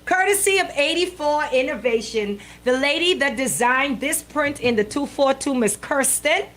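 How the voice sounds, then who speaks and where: A woman's voice: high-pitched, moderate pace, a little hiss or hum. Playful Bahamian woman hosting live radio. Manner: playful, animated, colloquial. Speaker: radio show host. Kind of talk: casual radio banter on a live show.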